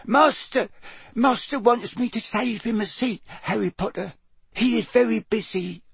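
Man putting on a very squeaky, quivering little female house elf. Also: gravelly, fairly bright tone, husky, dry recording, exaggerated voice. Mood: anxious, dutiful. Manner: subservient, broken grammar, drawn-out phrasing. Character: tiny timid female house elf servant, deferential, anxious.

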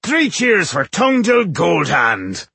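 A man voicing a brash, boozy dwarf mason, a cheeky trickster with a rough, boastful way of talking, in a fantasy audiobook. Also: on the high side, sing-song, measured pace, bright, forceful tone, dry recording, voice pushed up, exaggerated voice. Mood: jovial, mocking. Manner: boastful, breezy, impudent.

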